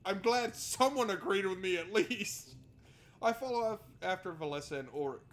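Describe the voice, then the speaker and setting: A man's voice: high voice, animated, moderate pace, a bit bright. A man doing let's play commentary, reading narration aloud and adding sarcastic, exasperated asides. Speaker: video game streamer. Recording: noticeable background noise.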